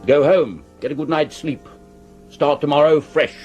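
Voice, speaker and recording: An actor playing a brusque, pompous Victorian police commissioner with an upper-class English accent in a radio drama. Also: male voice, on the high side, steady tone, moderate pace, darkish tone, muffled recording, soft background music, close to an everyday voice. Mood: brisk command. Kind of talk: 1970s radio drama acting.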